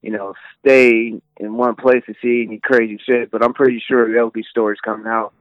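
This is a man in his thirties who calls in to a podcast and tells his stories in a relaxed, easygoing way. His voice comes through a dull, muffled phone line, and the sound is dry with no room around it. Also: mid-range voice, brisk, no room sound. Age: 30s. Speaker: podcast guest on a phone call. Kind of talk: casual phone chat.

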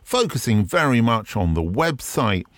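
A man's voice: mid-range voice, big pitch swings, measured pace, no echo, clean studio sound. A man hosting a podcast alone, talking in a conversational, reflective way with frequent ums. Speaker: podcast host. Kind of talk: casual podcast monologue. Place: garden shed.

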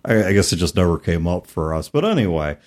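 A man's voice: sits low, sing-song, quick pace, darkish tone. A man hosting a podcast, talking casually and reflectively in a self-deprecating way. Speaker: podcast host. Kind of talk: casual tabletop gaming podcast talk.

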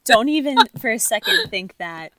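A young woman co-hosting a casual chat, giggly and teasing, quick to play along with jokes. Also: medium pitch, animated, pushed and bright. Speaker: podcast co-host.

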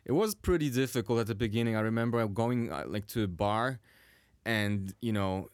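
A man from Poland with a Polish accent, a guest chatting casually on a podcast in a quiet studio, hesitant with many fillers and restarts. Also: fairly low pitch, moderate pace, clear, resonant tone, no echo. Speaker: cinematographer guest.

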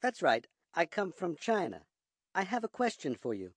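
A man's voice: sits high, huge pitch swings, moderate pace, no room sound, heavily acted. A man playing a polite radio caller from China in scripted English-teaching dialogue. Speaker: phone-in caller.

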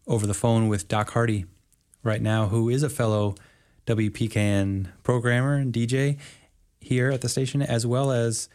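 A man hosts a community radio show from the studio. His voice sits a little low, and he asks questions in a measured way with some hesitation. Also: a little mellow. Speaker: radio host.

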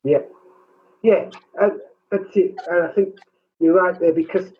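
Squeaky-voiced man on a tinny line. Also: husky. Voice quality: high, squeaky voice. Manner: halting, many restarts and repeated words. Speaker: rural minister. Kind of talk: conversational interview.

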